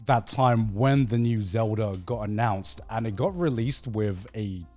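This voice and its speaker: A man co-hosting a casual gaming podcast, sweary and halting, often repeating words as he gets a thought out. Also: lowish voice, a little mellow, soft background music. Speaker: podcast co-host.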